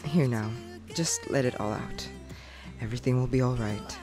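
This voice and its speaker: A woman voicing a caring young man who gently comforts his twin sister. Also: a bass voice, moderate pace, over music. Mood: tender. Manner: gentle, soothing.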